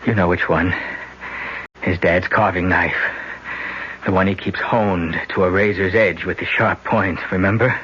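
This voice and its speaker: A man's voice: steady tone, unhurried, fairly bright tone, breathy edge, full character voice. An actor playing a scheming young man who narrates and coaxes his sister, in an old radio drama. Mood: coaxing, hypnotic. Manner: low, insistent, coaxing then drunk and rattled. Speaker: narrator and lead character. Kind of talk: 1970s radio drama acting. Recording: dull lo-fi old radio broadcast with music.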